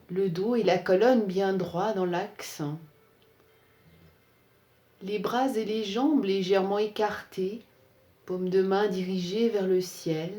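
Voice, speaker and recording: Female meditation guide, soft-edged mellow tone, calm measured phrasing, recorded with noticeable room echo. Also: mid-range voice. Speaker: yoga nidra instructor. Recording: roomy, echoing sound.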